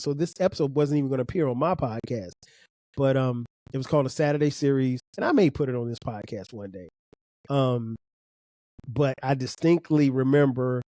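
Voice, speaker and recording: A man's voice: medium pitch, mellow, dry recording. A man from South Carolina with a South Carolina accent and a slightly nasal voice, rambling casually through a solo podcast with lots of fillers and restarts. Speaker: podcast host.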